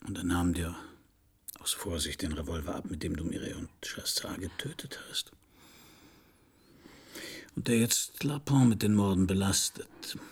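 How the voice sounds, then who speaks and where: A down-at-heel private eye in a noir radio drama. His voice is low, gravelly and husky. He talks in a subdued, melancholy, deliberate way, as intimate narrator and in dialogue. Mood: quietly accusing. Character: world-weary, broke, pipe-smoking male private detective. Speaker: narrating protagonist.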